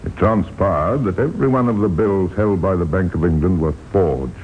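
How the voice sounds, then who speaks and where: A man narrating a vintage radio crime series in a deliberate, dramatic storytelling manner. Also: deep voice, noisy background. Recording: dull vintage radio recording with music. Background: music.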